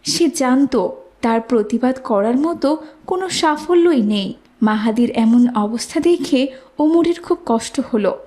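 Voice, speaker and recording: A woman's voice: high-pitched, animated, a little slow, warm tone. A woman narrating a scripted moral story for children.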